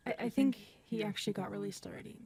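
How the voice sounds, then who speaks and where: A woman acting in an ASMR audio roleplay as a gentle, shaken specialist who confides haltingly and softly. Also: mid-range voice, vocal fry, husky. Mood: soft, reassuring. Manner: soft-spoken, hesitant, halting.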